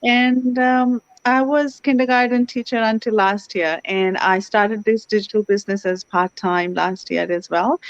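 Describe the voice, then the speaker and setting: A woman talks as a guest in an online interview. She speaks earnestly and gratefully, filling pauses with "you know." The call audio is dry and dull, with a lo-fi sound. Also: mid-range voice, no echo. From India. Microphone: video call.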